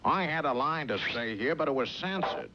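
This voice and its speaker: A man's voice: a little high, expressive, normal speed, background noise. A man voicing a mean cartoon thug who talks like a menacing tough-guy gangster. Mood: irritated. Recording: phone-like old cartoon soundtrack.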